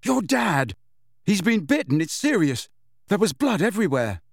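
A man's voice: fairly high pitch, big pitch swings, takes it slowly, breathy edge, dry recording, clean studio sound, voice pushed up, full character voice. A man reading an audiobook, voicing a frightened teenage boy who pants out his words. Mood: scared. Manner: panting, scared.

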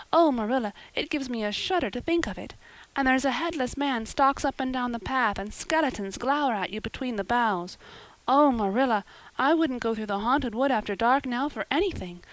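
Storybook girl, performed by a woman: high pitch, dry close sound, dramatic, wordy, pleading, wildly imaginative. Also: no room sound, close to an everyday voice, low-quality recording. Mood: dramatic, fearful. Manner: gushing, wordy, romantic and pleading.

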